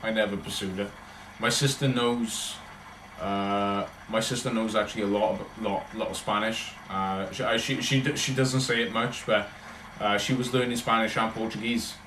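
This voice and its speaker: A man's voice: on the low side, fairly even intonation, normal speed, fairly bright tone, a little hiss or hum. Rambling Welsh man, roomy echo. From Wales. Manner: rambling, chatty, talks in loose run-on thoughts. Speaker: livestreamer answering chat. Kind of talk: casual livestream chat.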